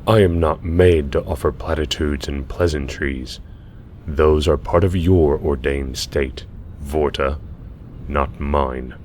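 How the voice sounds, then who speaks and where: An actor playing a stern, hostile alien soldier leader in a sci-fi audio drama, blunt and curt. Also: male voice, extremely low pitch, fairly even intonation, slow pace, darkish tone, a little hiss or hum. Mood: cold disdain.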